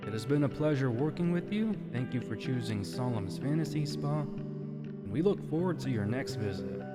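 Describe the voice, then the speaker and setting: Male streamer, mid-pitched, casual spa-roleplay host, heavy reverb with guitar music clearly behind him. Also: warm tone.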